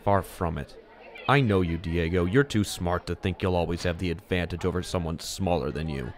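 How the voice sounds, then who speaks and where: A man playing a calm, self-assured teenage hero in an audio drama, measured and dry. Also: sits low, a faint crowd, close to an everyday voice. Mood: calm, firm.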